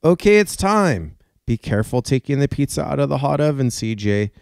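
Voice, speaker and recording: A friendly young storybook cat, played by a man, chirping in a raised, lifted voice and nudging the others to take care. The sound is clean, smooth and close, with no room around it. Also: medium pitch, very animated, normal speed, dry recording, pitched above the performer's own voice. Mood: eager.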